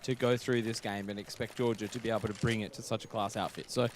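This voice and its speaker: A man's voice: on the low side, steady tone, fast, slight background noise. A man giving chatty color commentary, hedging his analysis with "I mean" and "look".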